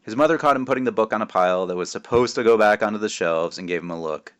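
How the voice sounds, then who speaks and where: Male author-reader for a children's novel, lowish voice, expressive and wry, drops in offhand asides. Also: fast talker, clean tone. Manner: expressive, self-interrupting, wry. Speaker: author reading aloud. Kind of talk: animated children's book read-aloud with casual asides.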